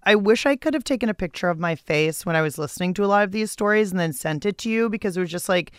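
Woman host, mid-pitched, crisp and dry studio sound, animated and chatty. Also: quick pace, dry recording. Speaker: podcast host and interviewer. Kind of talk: chatty scripted narration and casual interview.

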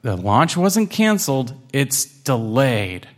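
A man's voice: medium pitch, very animated, takes it slowly. A man voicing a patient, reassuring mother cat in a children's storybook. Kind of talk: children's storybook read-aloud.

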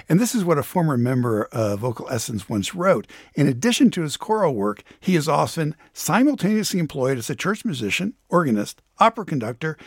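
Animated, husky American man reporting. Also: on the high side, no echo, studio quality. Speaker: radio contributor and reporter. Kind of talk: conversational radio reporting, storytelling.

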